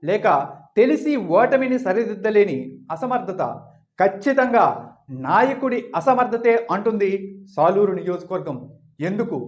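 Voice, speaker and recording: A man with a strikingly high voice presents scripted political commentary. He speaks emphatically, framing his points as pointed rhetorical questions, like the host of an online channel.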